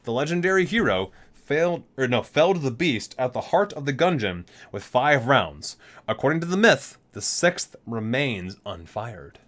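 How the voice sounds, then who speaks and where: A man's voice: medium pitch, sing-song, normal speed, a bit bright. A man doing an ASMR video, reading item entries aloud item by item and adding enthusiastic casual asides. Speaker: ASMR video creator, gamer.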